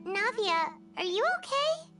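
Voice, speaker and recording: A woman voicing a tiny, childlike floating companion in a video game, eager and curious, with a squeaky voice. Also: very animated, measured pace, bright, forceful tone, distant mic, faint music behind, heavily acted. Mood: concerned, puzzled.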